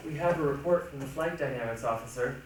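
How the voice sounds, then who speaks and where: A man's voice: medium pitch, fast. A man performing a dramatic stage reading with an emphatic, theatrical delivery. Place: large echoey room, recorded from a distance. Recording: echoey hall, distant mic, faint noise.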